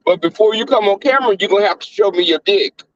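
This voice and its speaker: A Southern-accented man talks loosely on a live stream, crass and flamboyant, with a voice pitched a touch high that keeps jumping into squeals. The audio is dull and lo-fi, and the room is dry. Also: fast talker, dry recording. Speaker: livestream host. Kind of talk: casual live-stream chat.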